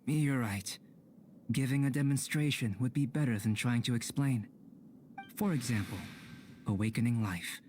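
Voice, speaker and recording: An actor playing a calm, politely detached young male alchemist with measured, scholarly speech in a fantasy game. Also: mid-range voice, slight background noise, lightly acted. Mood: earnest, scholarly. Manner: measured, scholarly, formal phrasing. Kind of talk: scripted fantasy game dialogue.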